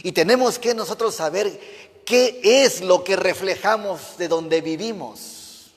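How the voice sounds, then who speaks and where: High-voiced pastor preaching fervently. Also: male voice, a little slow. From Mexico. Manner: fervent preaching with rhetorical questions to the congregation. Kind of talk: sermon. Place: church. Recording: reverberant church hall.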